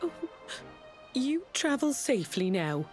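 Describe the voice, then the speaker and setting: A doting village mother in a fantasy game, proud and emotional. Her high voice swoops up and down as she fusses slowly and affectionately. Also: very animated, a little mellow, faint music behind, heavily acted. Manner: fussing, affectionate. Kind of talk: scripted video game voice acting.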